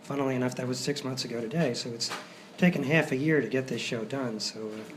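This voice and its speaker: A noisy live recording of a man with a mid-pitched voice. He speaks informally and gratefully to an audience as the show's host, feeling his way through his words. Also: fairly quick. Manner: hesitant, grateful. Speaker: writer, director and host of the show. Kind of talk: informal thank-you speech to a live audience. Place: hotel performance space, live audience.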